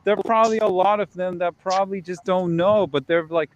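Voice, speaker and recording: A man's voice: high-pitched, fairly even intonation, brisk, lo-fi sound. A man talking frankly and chattily in a casual call discussion, often agreeing with the others.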